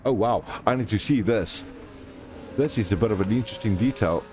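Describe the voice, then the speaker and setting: A man's voice: fairly low pitch, a little fast. A man hosting a live sim racing stream, calling the race and joking with viewers in the chat. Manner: jokey, chatty, reads viewer comments aloud.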